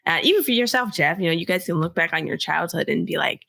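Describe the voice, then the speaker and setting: Lady podcast cohost just chatting, deep for a woman, lots of vocal fry. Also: animated, fast, pushed and bright, no room sound, studio quality. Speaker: podcast co-host. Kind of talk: casual chat, anecdotes.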